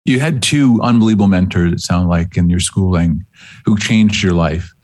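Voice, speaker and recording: A man's voice: sits low, normal speed, darkish tone. A man acting as interviewer, putting questions to a guest in a conversational way.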